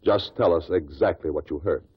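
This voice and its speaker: An actor playing a shrewd, relentless investigator who questions witnesses deliberately in a 1950s radio drama. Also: male voice, sits low, slightly rough. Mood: probing, formal. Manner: deliberate, probing courtroom questioning. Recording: muffled vintage 1950s radio recording.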